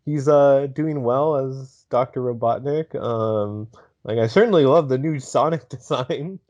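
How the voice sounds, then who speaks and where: Male podcast co-host, mellow and mid-pitched, casual, halting and rambling, slightly muffled recording. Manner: halting, rambling, filler-heavy. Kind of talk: casual chat.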